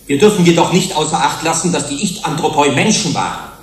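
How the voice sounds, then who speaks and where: Actor as earnest human rights advocate, roomy echo. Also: male voice, on the high side, lightly acted. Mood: earnest, measured. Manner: deliberate, rhetorical, measured. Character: earnest human rights commissioner pleading at a tribunal. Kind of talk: formal courtroom speech in German radio drama. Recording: roomy hall echo, faint hiss.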